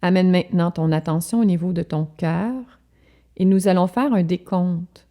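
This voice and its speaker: A woman leading a guided relaxation as a yoga instructor, speaking calmly and soothingly in short, measured phrases with pauses. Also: fairly low pitch.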